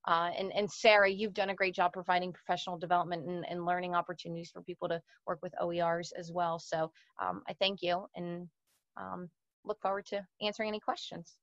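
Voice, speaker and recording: A woman's voice: lowish voice, flat delivery, fairly quick, dry recording. A woman in her thirties, a native Portuguese speaker, giving an informal webinar presentation over a lo-fi video call. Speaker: presenter, language educator. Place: small indoor room. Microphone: computer mic on a video call. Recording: dull, lo-fi video-call audio.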